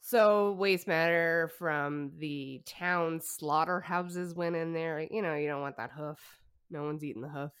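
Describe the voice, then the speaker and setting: A woman's voice: sits low, fairly even intonation, unhurried, clean tone. A woman co-hosting a podcast, telling a story in casual chat with fillers and laughs. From Canada. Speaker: podcast co-host.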